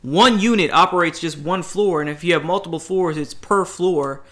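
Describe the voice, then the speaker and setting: A man's voice: sits high, moderate pace, fairly bright tone. A man, giving blunt advice in a casual chat, emphatic and rambling with restarts. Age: 20s.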